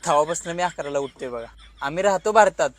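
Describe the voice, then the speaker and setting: A man's voice: a little high, fast, close to an everyday voice. A man voicing a cheeky, lazy auto-rickshaw driver who wisecracks colloquially in a comedy cartoon. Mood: wry, boastful. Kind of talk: comic cartoon dialogue.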